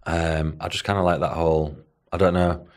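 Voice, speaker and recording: A man's voice: low voice, little pitch movement, moderate pace. A man with a Hull accent co-hosting a casual podcast as a bodybuilding coach, rambling and dropping in "do you know what I mean" as he talks. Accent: Hull, Northern English. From Hull, England.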